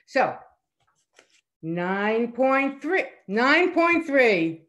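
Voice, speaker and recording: A woman's voice: fairly high pitch, sing-song, extremely slow, a bit bright, highs cut off. An older woman speaking off the cuff as a judge, giving emphatic, complimentary critique. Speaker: guest judge at a story slam. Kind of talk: spontaneous spoken critique.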